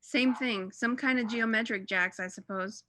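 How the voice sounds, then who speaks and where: Radio play phone friend: female actor, breezy chatty mother, forward bright tone, fairly high pitch. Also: moderate pace, a little hiss or hum. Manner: bubbly, gossipy. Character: chatty, breezy suburban mother on a telephone call.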